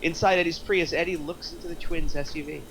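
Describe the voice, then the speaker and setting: A man's voice: a little high, steady tone, brisk, vocal fry, fairly bright tone, slight background noise, low-quality recording. A man reading out screenplay stage directions as a narrator in a scripted table read.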